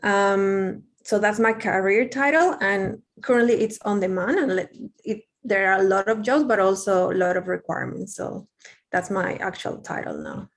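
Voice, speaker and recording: A woman's voice: medium pitch, big pitch swings, normal speed. A young adult woman from Peru with a Peruvian Spanish accent, speaking English as a second language as an interview guest, candid and rambling with many fillers and restarts. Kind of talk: casual interview.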